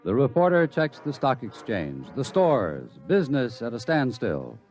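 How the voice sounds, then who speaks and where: An actor playing a grave narrator of a radio drama, telling of doom in portentous, measured phrases. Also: male voice, medium pitch, wildly swooping pitch, over music. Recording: dull lo-fi radio recording.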